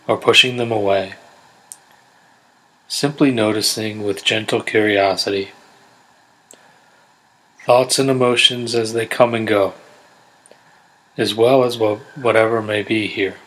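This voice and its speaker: A man guiding a body scan meditation in a gentle, unhurried, measured way, leaving pauses between instructions. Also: fairly low pitch, low-quality recording. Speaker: meditation guide. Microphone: close USB condenser microphone.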